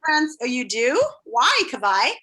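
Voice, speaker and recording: Bright, high-voiced woman teaching online. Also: very animated, measured pace. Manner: playful, prompting and encouraging children. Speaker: teacher leading a class. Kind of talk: casual live video-call class game. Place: online video-call class. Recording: noticeable background noise on video call.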